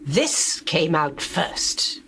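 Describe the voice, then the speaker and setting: A woman playing a midwife of ancient times in a scripture drama, with drawn-out, dramatic speech. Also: sits low, sing-song, a bit bright, slight background noise, exaggerated voice. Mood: awed.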